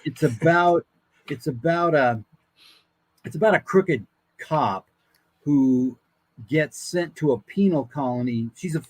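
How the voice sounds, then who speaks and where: A man talking casually as a guest, pitching show ideas in a rambling, playful way. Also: no room sound, low-quality recording. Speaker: guest, voice actor and writer.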